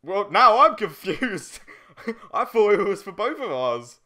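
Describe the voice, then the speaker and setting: A man's voice: sits high, very animated, slow pace, bright, forceful tone. A man hosting a let's play, chatting casually and jokingly over competitive gameplay. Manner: joking, easily amused. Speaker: let's play host and gamer.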